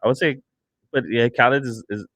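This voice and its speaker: A man's voice: medium pitch, fairly even intonation, brisk, a bit bright, dry recording. A man chatting casually as a panelist on a music podcast in a studio. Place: indoor studio.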